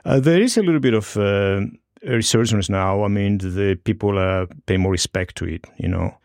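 Middle-aged Greek-born bartender guest, very deep gravelly voice, halting and full of fillers, dry close sound. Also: male voice, a little mellow, dry recording. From Greece. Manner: hesitant, many fillers and restarts. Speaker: guest bartender and bar co-owner. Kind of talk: casual interview talk.

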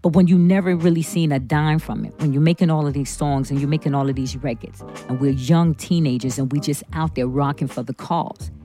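A woman's voice: deep voice, dark tone. A middle-aged or older woman, a musician, telling her story in an interview and building points through repetition. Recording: music playing behind the voice.

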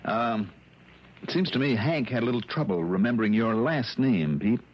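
A man's voice: mid-range voice, lively intonation, normal speed, lightly acted. An American man playing a tough, clipped private investigator in an old radio drama, speaking with insistent authority. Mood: probing, firm. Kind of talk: 1950s-60s American radio drama acting. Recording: dull, noisy old radio recording.

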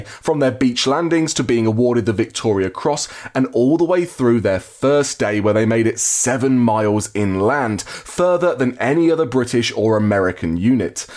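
A man hosting a history podcast, reading an upbeat closing promotion briskly. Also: mid-range voice, darkish tone. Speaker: podcast host. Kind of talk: read promotional outro.

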